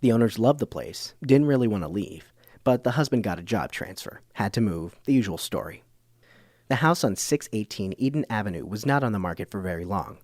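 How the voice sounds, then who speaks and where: Man playing earnest, low-voiced radio host. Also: lively intonation, a little fast, a little mellow, no echo, studio quality. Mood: measured, wry. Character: earnest, puzzled male public-radio documentary host. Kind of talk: public radio scripted narration and interviewing.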